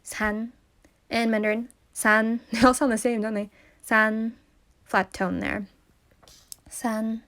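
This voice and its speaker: A woman whose first language is English, slowly teaching number pronunciation and repeating each word carefully. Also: fairly high pitch, level tone, fairly bright tone. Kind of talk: slow pronunciation lesson.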